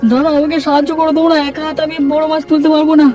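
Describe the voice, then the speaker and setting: A man playing a poor, eager village man in a cartoon fairy tale, pleading and excitable. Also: extremely high pitch, very fast, recorded from a distance, soft background music. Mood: eager, excited.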